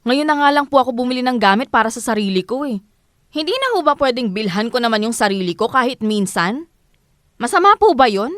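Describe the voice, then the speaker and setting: A woman's voice: on the high side, sing-song, fairly quick, a bit bright, dry recording, studio quality. A young woman with a Filipino accent acting a put-upon, dutiful daughter in an audio drama, plaintive and respectful. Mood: hurt, pleading. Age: young adult. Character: put-upon, dutiful adult daughter defending herself to mother.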